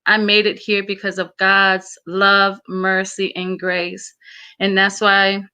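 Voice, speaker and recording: A woman's voice: medium pitch, monotone, slow, sharp tone, smooth, lo-fi sound. A woman from Samoa, whose first language is Samoan, speaking as an interview guest in an earnest, emphatic way, often repeating her words. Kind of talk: casual interview.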